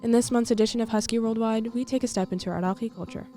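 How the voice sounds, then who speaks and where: A woman's voice: a little high, fairly even intonation, fast talker, mellow. A young woman, reading a scripted news feature as a student reporter. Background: faint music.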